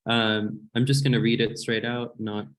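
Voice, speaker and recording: A man's voice: on the low side, level tone, normal speed, low-quality recording. A man hosting an online seminar, calling on participants in turn, hesitant and apologetic with many fillers. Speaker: seminar host.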